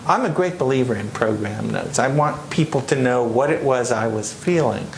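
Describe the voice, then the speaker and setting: A man's voice: medium pitch, expressive, normal speed, gravelly. A middle-aged man, a composer speaking at a panel, telling anecdotes with frequent restarts in a casual way. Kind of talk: casual talk answering audience questions. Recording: echoey room with noise.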